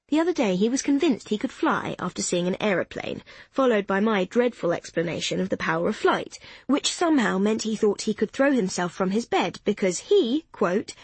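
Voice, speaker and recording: A woman voicing a cheerful, excitable young mother who talks quickly and pokes fun at herself, in a slightly husky voice. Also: medium pitch, no room sound. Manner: bubbly, quick, self-deprecating.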